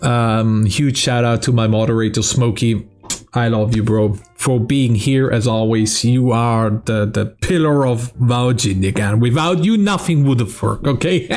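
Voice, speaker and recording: A man's voice: medium pitch, a little slow, darkish tone. A young man from South Tyrol with a German accent, a streamer casually answering fan questions, swearing and laughing between answers. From South Tyrol, Italy. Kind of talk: casual Q&A vlog.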